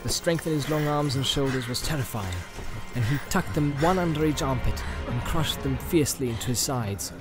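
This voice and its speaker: A man's voice: medium pitch, normal speed, hardly any pauses. A man reading a fantasy audiobook as narrator, in a dramatic storytelling style. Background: music and sound effects.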